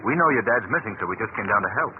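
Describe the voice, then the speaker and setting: A man's voice: fairly low pitch, animated, rapid-fire, close to an everyday voice. A man playing a genteel, reassuring wealthy sleuth with polished, formal speech in a 1940s radio drama. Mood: reassuring, calm. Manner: polished, formal, mildly exclaiming. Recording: very noisy, tinny old-time radio broadcast.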